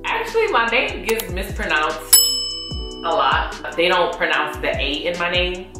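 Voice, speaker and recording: A woman's voice: on the low side, very animated, measured pace. A woman, chatting casually to viewers while doing her makeup. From Louisiana. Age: 30s. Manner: chatty, addresses viewers as y'all. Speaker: beauty vlogger. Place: small indoor room against a plain wall. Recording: very echoey room with music behind.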